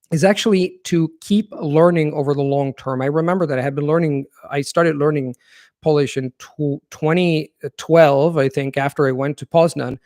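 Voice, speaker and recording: Earnest man, higher-pitched, dry sound. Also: a little mellow, no room sound. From Italy. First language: Italian. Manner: thoughtful and earnest, explaining at length. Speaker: language-learning channel host. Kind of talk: live Q&A, reading viewer questions and answering.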